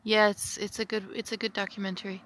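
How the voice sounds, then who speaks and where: An older woman co-hosting a casual livestream speaks in a gentle, soothing way. Her voice is mid-pitched and fairly bright. Faint outdoor noise sits behind her. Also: little pitch movement, fast. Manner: soft, gentle, soothing way of talking. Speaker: co-host, former nurse.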